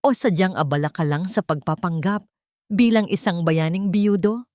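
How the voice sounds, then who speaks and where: A woman voicing a sharp-tongued, scheming, domineering woman in a dramatic story, scornful and demanding. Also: fairly low pitch, moderate pace, no room sound, clean studio sound, lightly acted. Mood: angry, mocking.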